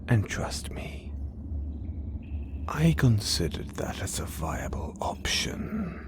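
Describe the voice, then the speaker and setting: Obsessive supervillain, voiced by a man, deep whispery voice, slow intimate delivery, theatrical. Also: wildly swooping pitch, full character voice. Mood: possessive whisper. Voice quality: low gravelly whisper. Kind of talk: whispered audio roleplay.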